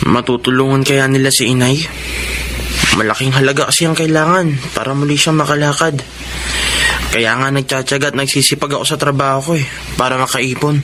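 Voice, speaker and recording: An actor playing an earnest, devoted young delivery rider and loving son in a Filipino radio drama. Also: male voice, mid-range voice, fairly even intonation, a little fast, natural voice. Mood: tender, dutiful. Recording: noisy radio drama sound with background ambience.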